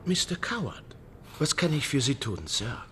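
A man's voice: mid-range voice, sing-song, normal speed, heavy background noise, lightly acted. An actor playing a deferential theatre doorman in a German radio drama, servile and clipped. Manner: servile, clipped, says sir a lot.

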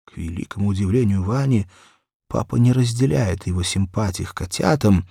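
A man's voice: on the low side, moderate pace, creaky, mellow, breathy edge, no echo, studio quality. A man reading a children's story aloud as an audiobook narrator, in a dramatic, theatrical storytelling manner.

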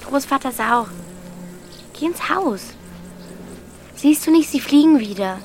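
A woman's voice: high-pitched, animated, measured pace, slight background noise. A woman playing a bold, defiant thirteen-year-old village girl, blunt and impatient, in a radio drama. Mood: brisk, scornful.

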